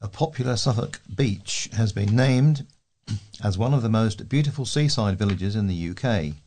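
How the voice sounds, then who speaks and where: A man's voice: fairly low pitch, normal speed, a little mellow, slight background noise. A man with a British accent reads local news articles aloud as a volunteer newsreader.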